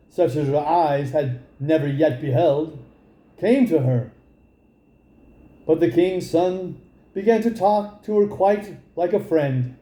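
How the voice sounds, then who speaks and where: Slow, mellow man reading a fairy tale. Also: high-pitched, echoey room. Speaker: storybook reader. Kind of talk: expressive fairy tale narration.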